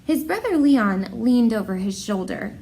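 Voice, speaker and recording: A woman with a fairly high, very animated voice reads and chats as an art teacher would to children. Her delivery is expressive and encouraging, addressing young listeners directly as she goes. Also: a little slow, vocal fry. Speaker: art teacher reading to pupils. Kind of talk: children's picture book read-aloud and encouraging talk.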